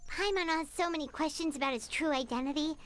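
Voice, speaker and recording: A woman's voice: high voice, quick pace, over music, natural voice. An actress voicing a tiny, nosy fairy girl companion in a fantasy game, perky and childlike. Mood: curious, eager. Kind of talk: fantasy video game voice acting.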